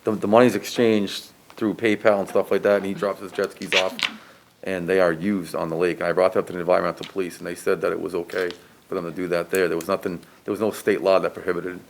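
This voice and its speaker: A man speaking at a town commission meeting, halting and repeating words as he reports. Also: deep voice, flat delivery, vocal fry, slight background noise. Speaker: commission member. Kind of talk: meeting discussion.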